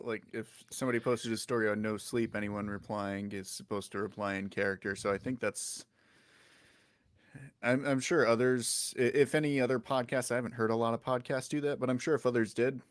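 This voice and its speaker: A man's voice: medium pitch, fairly quick, dry recording. A man co-hosting a podcast livestream, adding commentary in casual, conversational talk. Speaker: podcast co-creator, co-host.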